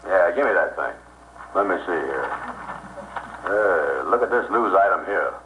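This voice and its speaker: A boastful, bossy schemer from an old radio comedy duo. His voice is low. The sound is echoey with clear noise, like an early radio recording. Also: expressive, measured pace, pushed and bright, exaggerated voice. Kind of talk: 1920s-30s radio comedy acting.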